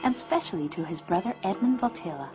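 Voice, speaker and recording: A woman's voice: mid-range voice, expressive, fast. A woman reading scripted narration in a storybook biography style. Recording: dull sound, loud music behind.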